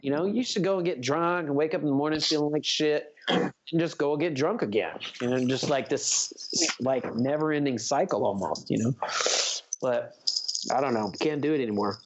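A man's voice: fairly high pitch, normal speed, a little hiss or hum. A man in his fifties co-hosting a podcast from home, chatting casually and rambling, tacking "man" onto his sentences. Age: 50s. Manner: laid-back, rambling, says "man" a lot. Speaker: podcast co-host, drummer. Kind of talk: casual chat. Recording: slightly muffled sound.

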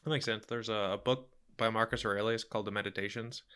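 Fast bright-voiced easygoing man. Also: on the low side, steady tone, clear, resonant tone. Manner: easygoing, filler-heavy, laughs lightly. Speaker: interviewer. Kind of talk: casual conversation on a call.

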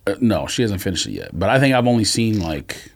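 A man co-hosting a podcast in casual banter, blunt and quick to argue back, using slang. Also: fairly low pitch, sing-song, vocal fry. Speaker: podcast co-host.

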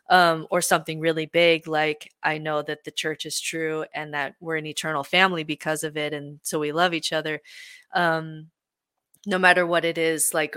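A young woman talking earnestly in a relaxed podcast conversation, with frequent fillers. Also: low-pitched, no room sound, clean studio sound. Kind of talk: reflective conversational talk.

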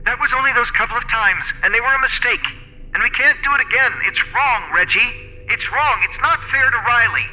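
A man's voice: extremely high pitch, moderate pace, pushed and bright, big-room sound, soft background music, voice pushed up, heavily acted, low-quality recording. A man voicing a frightened but firm young woman pleading and protesting in an audio horror story. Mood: firm, upset. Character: terrified, pleading young woman, firmly resisting.

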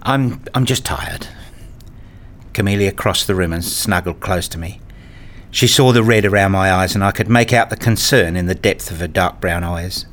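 A weary father played by a man tells his own story in a radio play. His voice is fairly low, and his delivery is measured and sombre. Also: a bit bright, slight background noise. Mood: tender, tired. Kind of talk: first-person radio play narration and acting.

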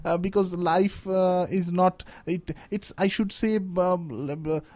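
Indian writer in his thirties, Bengali native speaker, high mellow voice, thoughtful and halting. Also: fairly even intonation. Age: 30s. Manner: reflective, searching for words, restarts phrases. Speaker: guest writer. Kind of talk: radio interview. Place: radio studio.

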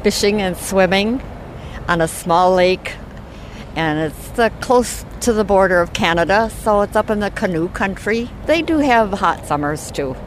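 A woman's voice: medium pitch, animated, unhurried, slight background noise, poor audio. A woman from the American Midwest with an American accent, casually sharing memories as an interviewee. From Midwestern United States. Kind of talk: casual reminiscence.

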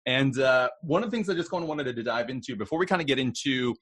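A male podcast host with a husky voice who asks friendly, rambling questions in a casual interview. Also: mid-range voice, expressive, fast, fairly bright tone.

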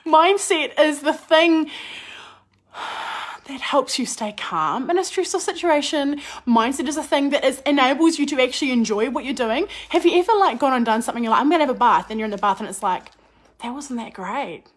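A young woman, a business and mindset coach, talking in a chatty, animated way with a slightly croaky voice after a cold. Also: high voice, normal speed. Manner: chatty, animated, says "like" often. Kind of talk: casual podcast monologue.

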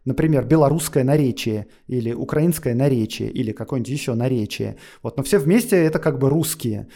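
A man's voice: medium pitch, lively intonation, a little fast, mellow. A man explaining history on a podcast in a lecturing way, with frequent fillers and asides. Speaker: guest historian.

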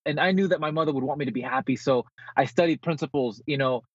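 Male motivational guest, rapid and slightly husky, dull remote-call audio. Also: medium pitch, dry recording. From born in the Philippines, grew up in New Jersey. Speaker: interview guest, motivational speaker and author. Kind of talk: conversational motivational interview.

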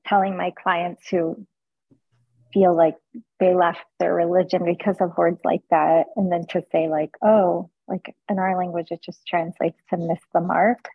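A woman's voice: on the low side, flat delivery, unhurried, gravelly, slightly rough, no echo. A woman who counsels clients, talking informally in a casual discussion. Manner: informal, checking with others as she talks. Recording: dull, dry audio.

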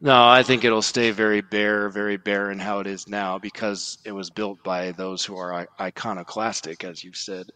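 Low-voiced man, dry close sound. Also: little pitch movement, moderate pace, hardly any pauses, sharp tone, clean tone, dry recording, rough recording. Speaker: podcast commentator. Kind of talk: earnest podcast commentary.

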